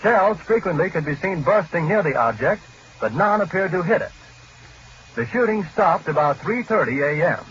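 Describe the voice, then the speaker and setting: Higher-voiced 1940s radio newsman, muffled. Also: fairly bright tone, breathy edge. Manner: formal, factual delivery. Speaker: radio news reporter. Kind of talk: scripted 1940s radio news report.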